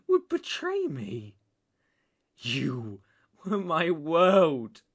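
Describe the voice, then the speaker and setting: Husky, menacing British mafia boss, acted by a man. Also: a little high, full character voice. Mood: wounded, threatening. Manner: halting, menacing, volatile, swinging between hush and outbursts. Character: unstable young male mafia boss, obsessive jilted lover. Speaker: roleplay voice actor. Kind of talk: dramatic ASMR roleplay monologue.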